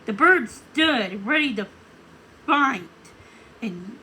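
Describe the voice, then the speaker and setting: Female storybook reader: high, animated narration, slight background noise. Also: slow pace. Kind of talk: expressive read-aloud narration.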